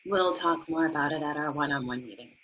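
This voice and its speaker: A woman's voice: low voice, fairly quick, a bit bright, a little hiss or hum. An actress playing an earnest, conflicted woman school administrator in a podcast drama. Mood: earnest, concerned.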